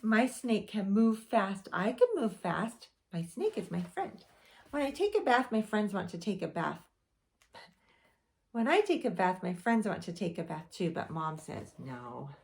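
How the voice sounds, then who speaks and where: A young woman teaching preschool, reading a picture book aloud to children in a lively storytime voice. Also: measured pace, gravelly. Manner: animated storytime voice with big pitch swings.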